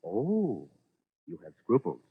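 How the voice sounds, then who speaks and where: An actor playing a shrewd, urbane gentleman sleuth in a 1940s radio drama, theatrical and deliberate. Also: lowish voice, huge pitch swings, husky, exaggerated voice. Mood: probing, wry. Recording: muffled vintage 1940s radio recording.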